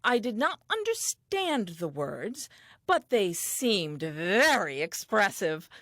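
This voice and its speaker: An actress playing a talkative, eager and self-assured first woman telling her diary in a comic audio play, earnest and expressive. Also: mid-range voice, slow pace, dry recording, studio quality, heavily acted. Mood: curious, delighted.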